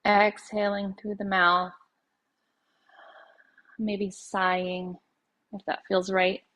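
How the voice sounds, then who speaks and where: A woman leading a guided meditation as a yoga nidra teacher, speaking in slow, unhurried phrases with gentle pauses. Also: mid-range voice, little pitch movement.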